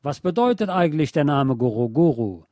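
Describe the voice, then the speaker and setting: A man reading a scripted radio documentary as narrator in a measured, literate and factual manner. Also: a little high, big pitch swings, dark tone, no echo, studio quality.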